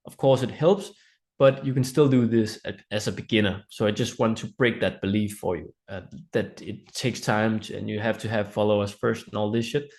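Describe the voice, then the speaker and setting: A man's voice: fairly low pitch, steady tone, normal speed, a little mellow. A man with a Danish accent presents a live online training in an informal, explanatory way, often checking in with listeners. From Denmark.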